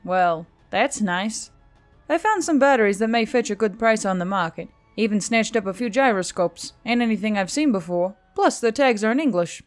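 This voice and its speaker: A woman's voice: medium pitch, expressive, normal speed, faint music behind, lightly acted. A woman voicing an upbeat, cheeky female centaur scavenger in an audiobook, breezy and teasing. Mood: casual.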